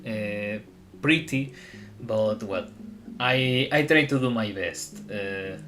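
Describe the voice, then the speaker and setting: A man from Spain who speaks English as a second language, chatting casually on a live stream and often pausing to search for words. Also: lowish voice, pushed and bright. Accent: Spanish. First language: Spanish. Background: guitar music.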